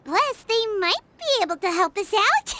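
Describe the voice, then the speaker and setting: A woman voicing a tiny, chirpy, childlike fairy companion in a video game, excitable and squeaky. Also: measured pace, background noise, exaggerated voice. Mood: curious. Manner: speaks of herself in third person, excitable.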